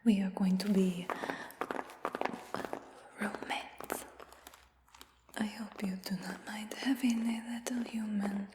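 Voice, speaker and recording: A woman playing a smug, doting human dragon hunter in a fantasy ASMR roleplay, whispering in a coaxing, gently boastful way. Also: mid-range voice, fairly even intonation, takes it slowly, pauses often, noisy background, close to an everyday voice. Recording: echoey whisper with long cave-like reverb.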